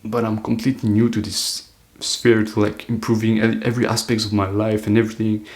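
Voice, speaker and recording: A man's voice: on the low side, little pitch movement, brisk, a little mellow. An eighteen-year-old man talking to camera in an earnest, loose, reflective monologue, full of "like" fillers. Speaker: self-improvement vlogger.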